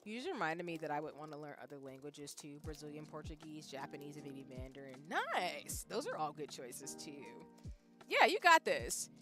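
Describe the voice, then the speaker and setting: Chatty young woman streamer, low voice. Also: very animated, moderate pace, music in the background. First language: English. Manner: rambling, thinks aloud with restarts. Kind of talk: casual chat reading viewer comments.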